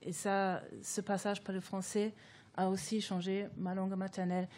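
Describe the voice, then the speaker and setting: A woman's voice: medium pitch, little pitch movement, normal speed, a little mellow. A woman whose first language is German, a guest author speaking reflectively in an academic discussion, thoughtful and hesitant with many fillers and restarts.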